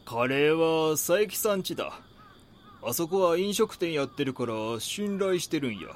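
Gruff Kansai neighbourhood chief, lively pitch. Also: male voice, measured pace. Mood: gruff, wary. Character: gruff older male neighbourhood association chief, wary, talkative. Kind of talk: audio drama dialogue.

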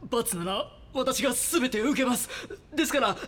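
A man's voice: very high voice, moderate pace, fairly bright tone. An actor playing a desperate, impassioned father protecting his family in an audio drama. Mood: desperate pleading. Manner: pleading, impassioned.

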